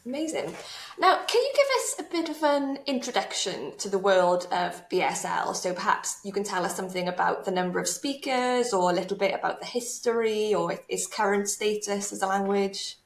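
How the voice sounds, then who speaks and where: A woman's voice: mid-range voice, lively intonation, moderate pace, echoey room. A woman hosting a podcast interview, reading a friendly scripted opening and asking questions. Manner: friendly, scripted opening then short reactions. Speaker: podcast host.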